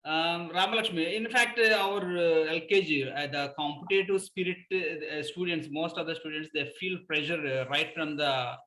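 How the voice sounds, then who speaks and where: A man with an Indian English accent moderates a video call, his voice bright and fairly high for a man. He speaks formally and courteously, and the sound carries the echo of a roomy space. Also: normal speed, few pauses. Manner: formal, addresses the audience courteously. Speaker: host and moderator. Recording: echoey room.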